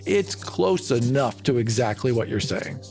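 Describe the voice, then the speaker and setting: A man's voice: medium pitch, animated, a little slow, vocal fry, a little mellow, faint music behind. Man playing a glib, persuasive colony boss. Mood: explaining. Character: glib, persuasive male colony boss making big promises. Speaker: player character in a tabletop game.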